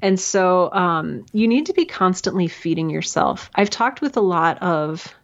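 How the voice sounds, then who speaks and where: A woman guest talks through her advice in an animated, chatty way, full of little fillers. Her voice sits a bit low. The audio is dull and lo-fi, like a remote call. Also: unhurried. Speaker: guest, writer and audio drama creator. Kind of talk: casual interview answers giving advice.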